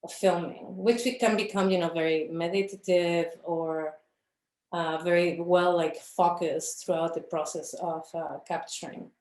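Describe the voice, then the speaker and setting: A woman talks about her work in a slow, halting way. Her voice sits a little low, and she keeps pausing and restarting as she searches for the right words. The room around her is echoey. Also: steady tone, a little mellow. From Chile. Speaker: filmmaker, interview guest. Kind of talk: reflective conversation about her films.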